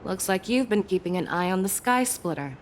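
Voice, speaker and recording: An actress playing a shrewd, measured woman general who reasons through clues, in a game cutscene. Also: mid-range voice, lively intonation, slight background noise, close to an everyday voice. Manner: analytical, measured. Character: shrewd, composed woman military leader. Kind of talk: video game cutscene voice acting.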